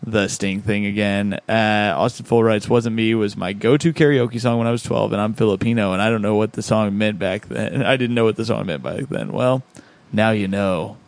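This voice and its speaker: A man's voice: lowish voice, normal speed, vocal fry, hardly any pauses. A man hosting a podcast, reading out listener comments in a laid-back, chuckling way. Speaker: podcast host.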